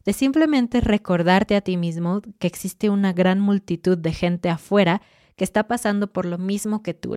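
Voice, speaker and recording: A woman hosting a podcast for Spanish learners, giving clear, encouraging advice with lively asides. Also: medium pitch, dry recording, studio quality. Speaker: Spanish teacher and podcast host.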